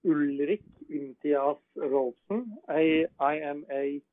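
A man from Norway, a filmmaker, speaking in a measured, hesitant way with many "uh"s. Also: on the high side, level tone, dry recording. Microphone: phone. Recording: tinny dry phone line.

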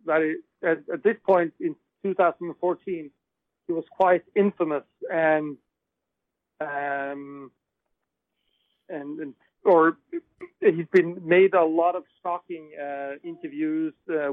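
Male director, measured hesitant delivery, slightly high voice, dry telephone sound. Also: steady tone, no echo. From Norway. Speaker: filmmaker. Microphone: phone. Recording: tinny dry phone line.

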